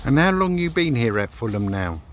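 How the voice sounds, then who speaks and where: A man interviewing a young footballer, asking questions in a measured, formal way. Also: medium pitch, sing-song, a bit bright, a little hiss or hum.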